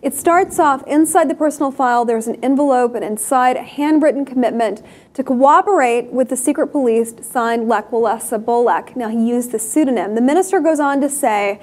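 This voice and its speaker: Young female host, higher voice, chatty studio news delivery, lapel mic. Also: brisk, darkish tone. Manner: chatty, many fillers and restarts. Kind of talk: casual news commentary. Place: TV studio desk.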